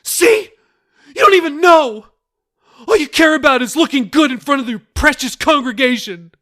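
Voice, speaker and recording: A man voicing a furious twenty-one-year-old daughter who shouts at her father in a high, strained voice. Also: animated, normal speed, exaggerated voice. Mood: screaming.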